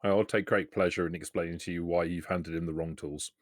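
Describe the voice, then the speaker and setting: A man with a very deep voice plays a tabletop roleplaying game. He describes his character's actions casually, in a dry, close recording. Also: fairly even intonation, brisk, no echo.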